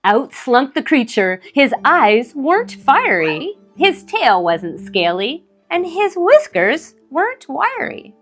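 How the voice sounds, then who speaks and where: A woman narrating a storybook for young children, expressive and deliberate. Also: high-pitched, faint music behind. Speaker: children's read-aloud host.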